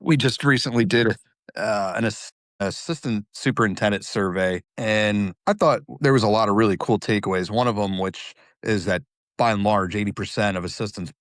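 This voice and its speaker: A man's voice: on the low side, normal speed, a bit bright, no echo, clean studio sound. Friendly host in his thirties. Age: 30s. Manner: friendly, enthusiastic, casual. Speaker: podcast host. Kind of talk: podcast interview conversation.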